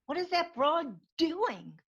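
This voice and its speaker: A woman's voice: extremely high pitch, sing-song, slow pace, fairly bright tone, exaggerated voice. A woman acting a nosy, cheeky, teasing friend in a comedy script read over a video call. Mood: nosy, mocking. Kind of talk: live comedy screenplay table read.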